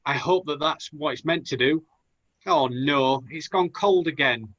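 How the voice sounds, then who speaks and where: A man's voice: on the high side, a little slow, lo-fi sound, heavy background noise, natural voice. A man playing a plucky, naive young Aladdin in a rhyming pantomime, with an earnest theatrical delivery. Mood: uncertain. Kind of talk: pantomime acting.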